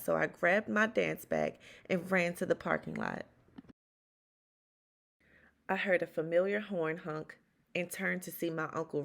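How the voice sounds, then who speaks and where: A woman reads a children's story aloud in the first person, leaning into dramatic pauses and emphasis. Her voice is on the low side, with a lot of vocal fry. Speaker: children's read-aloud host.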